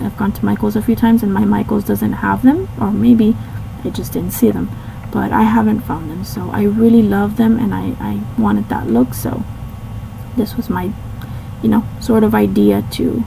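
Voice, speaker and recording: A young woman talks through her crafting in a relaxed, rambling way, full of ums and little apologies. Her voice is raspy and a touch hoarse from a cold, with a heavy gravelly fry and a dark, mellow tone. A faint hiss sits under the recording. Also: medium pitch, low-quality recording. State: slightly hoarse. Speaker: scrapbooking channel host. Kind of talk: casual voice-over narrating a craft video.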